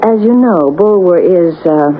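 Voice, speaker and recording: Wry knowing survivor, radio play, unhurried, expressive pitch, understated, hissy recording. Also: female voice, narrow, tinny audio. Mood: coy, guarded. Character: wry, unruffled woman, sole survivor of mining town. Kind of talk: vintage radio drama acting.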